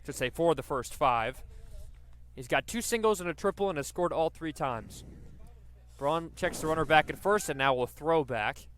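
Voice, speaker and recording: A man's voice: fairly high pitch, animated, normal speed, fairly bright tone, slight background noise. A man doing live radio baseball play-by-play, describing the action and working in stats as he goes. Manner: descriptive, stat-laden delivery.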